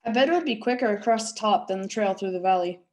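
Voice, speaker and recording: A woman's voice: mid-range voice, fast, darkish tone, natural voice. A woman playing a bold, teasing teenage boy in a table read of a script.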